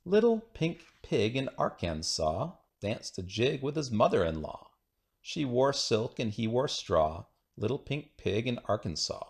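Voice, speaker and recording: A man's voice: mid-range voice, big pitch swings, a little slow. A man reading children's rhyming poems aloud in a playful, singsong, rhythmic way. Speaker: children's book reader.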